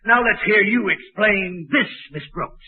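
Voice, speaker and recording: Very husky, pompous male school principal. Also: high-pitched, unhurried, exaggerated voice. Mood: stern, suspicious. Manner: pompous, blustering. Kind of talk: 1940s radio situation comedy acting. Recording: muffled, narrow-band 1940s radio recording.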